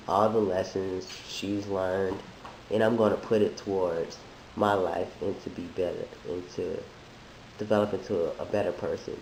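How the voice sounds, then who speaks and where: A man who is sick, talking in a halting, thoughtful way with many restarts, in a reflective personal monologue. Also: low-pitched, a little mellow. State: sick, voice deeper than usual. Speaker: vlogger sharing a transition update. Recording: echoey room with faint hiss.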